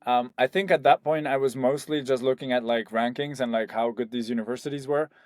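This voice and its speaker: A man's voice: medium pitch, fairly even intonation, fairly quick, no room sound, clean studio sound. A young man from Spain, a startup founder, talking loosely as an interview guest and sprinkling his speech with "like" and "um". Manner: loose and conversational, heavy on "like" and "um". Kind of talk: casual interview.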